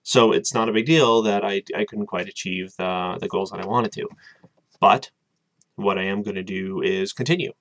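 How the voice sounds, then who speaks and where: A man's voice: on the low side, normal speed, vocal fry, fairly bright tone. A young man in his twenties giving a casual, rambling video diary at his webcam, with lots of hesitant ums. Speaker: vlogger. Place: small room with bookshelves, indoors.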